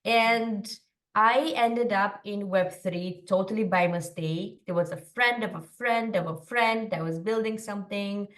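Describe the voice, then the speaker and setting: A woman in her thirties from Romania, a podcast guest telling her story in a quick, chatty way with lots of fillers. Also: medium pitch, fairly bright tone, recorded from a distance. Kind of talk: casual interview storytelling.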